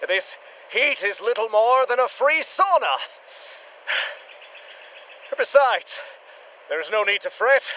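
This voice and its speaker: A boastful, secretly cowardly hunter of monsters in a radio adventure serial. His high voice takes its time over grand, bombastic phrases and swells theatrically. Faint noise sits behind him. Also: lively intonation, takes it slowly, pauses often, pushed and bright, exaggerated voice, poor audio.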